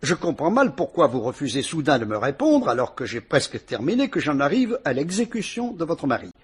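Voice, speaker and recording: A man playing a patient police commissioner who speaks in a measured, deliberate, politely ironic way in a vintage radio drama. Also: fairly high pitch, big pitch swings, breathy edge, heavily acted.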